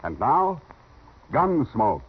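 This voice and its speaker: A man with an American accent and a husky voice, announcing in scripted 1950s radio style. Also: medium pitch, wildly swooping pitch, very slow. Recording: muffled old radio recording.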